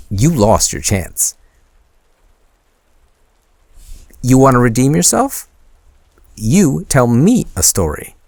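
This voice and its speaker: A man playing a shy, sentimental young man in an intimate boyfriend audio roleplay, speaking hesitantly and teasingly with a creaky voice. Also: mid-range voice, no echo, clean studio sound, heavily acted. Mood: flustered, teasing. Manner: hesitant, soft-spoken teasing, stammering.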